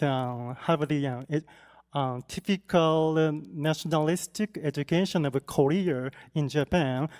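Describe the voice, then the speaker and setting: A man interpreting questions from an audience member, hesitant and halting, with many restarts and fillers, in a reverberant hall. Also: on the high side, a little mellow, clean tone. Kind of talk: consecutive interpretation.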